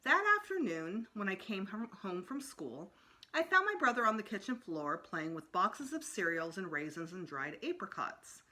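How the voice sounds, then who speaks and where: A woman reading a children's story aloud as storytime narrator, expressive, with emphatic pauses. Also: medium pitch. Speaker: storytime reader.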